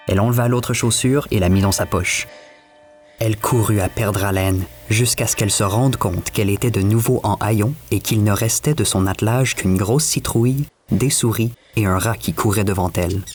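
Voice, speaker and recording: A man's voice: fairly low pitch, a little mellow. A man telling a scripted fairy tale as the storyteller. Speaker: storyteller, narrator.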